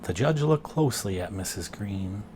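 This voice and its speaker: A man reading a children's picture book aloud, expressively and with deliberate pauses. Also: on the low side, animated, dark tone, slight background noise. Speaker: storybook reader and dad.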